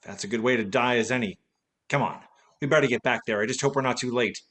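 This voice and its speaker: A man's voice: mid-range voice, fast talker, fairly bright tone, lightly acted. A man playing a wry, wisecracking, tipsy former detective in a vintage-style radio play. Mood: wry, casual. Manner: wisecracking, teasing.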